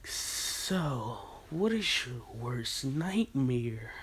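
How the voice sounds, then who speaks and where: A man voicing a sinister young male ninja who taunts slowly in a low, hushed whisper. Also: big pitch swings, a little hiss or hum, heavily acted. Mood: menacing. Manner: slow, taunting. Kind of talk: fan story roleplay.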